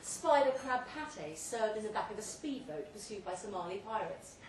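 A woman giving an emphatic, deliberately phrased dramatic reading of a second-person short story at a live event. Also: mid-range voice, expressive. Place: indoor pub event, before an audience. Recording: roomy live pub recording, distant mic, faint noise.

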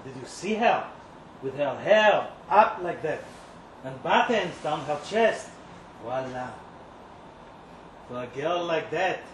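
A man's voice: on the high side, expressive, takes it slowly, pauses often. A man reading a dramatic monologue live, playing a cocky, lovesick, resentful kitchen worker in a colloquial, confiding, rambling way. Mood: smitten. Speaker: story reader. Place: indoor live reading event. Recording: roomy, distant live-event recording, faint noise.

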